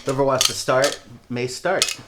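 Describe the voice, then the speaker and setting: A man's voice: mid-range voice, heavy background noise. Joking man hosting a game podcast. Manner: joking, quick to laugh. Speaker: game master and host. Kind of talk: casual podcast banter.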